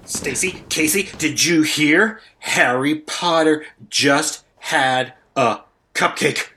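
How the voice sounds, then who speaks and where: A man's voice: on the high side, takes it slowly, sharp tone. A man playing a starstruck, self-effacing student and devoted fan, earnest and repetitive in an improvised comedy sketch. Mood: awed, gossipy.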